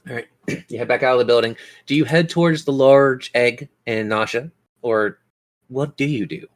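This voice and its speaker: A man's voice: medium pitch, moderate pace. A man narrating a tabletop role-playing game as game master, describing scenes and prompting players with questions.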